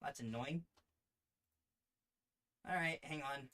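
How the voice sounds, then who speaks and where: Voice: medium pitch, slow, sharp tone. A streamer chatting casually and muttering to themselves during a livestream. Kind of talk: casual livestream chat, reading a visual novel aloud. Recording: music in the background. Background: music.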